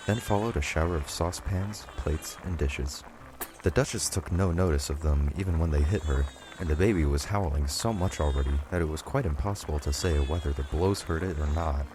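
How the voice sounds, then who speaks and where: A man's voice: low voice, noisy background. A man reading scripted audiobook narration of a children's story.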